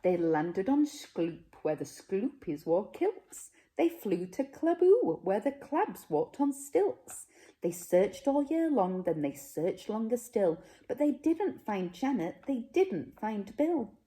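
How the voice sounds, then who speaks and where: A woman reading a rhyming children's story as a teacher would, with measured, dramatic phrasing. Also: mid-range voice, very animated, dark tone. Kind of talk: expressive rhyming storybook narration.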